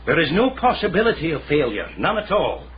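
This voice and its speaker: An actor playing a condescending, quietly menacing Scottish Lord Provost in a radio drama, measured and insinuating. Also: male voice, a little high, big pitch swings. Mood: veiled threat. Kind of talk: period radio drama acting. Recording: muffled, noisy radio recording.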